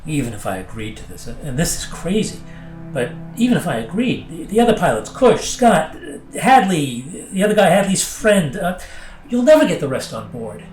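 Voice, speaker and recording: A conflicted male pilot acted by a man in an audio drama, with a very varied, animated pitch. He sounds hesitant and incredulous, a skeptic resisting a scheme. The room echoes and faint music plays underneath. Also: moderate pace. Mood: incredulous, resistant.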